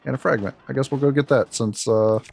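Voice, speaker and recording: Deep-voiced gravelly man streaming a game. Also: a little fast, background noise. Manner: candid, laid-back, talks to chat between game moments. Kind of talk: casual live-stream chat while playing. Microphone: close desk mic. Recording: game music audible under the voice. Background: game music.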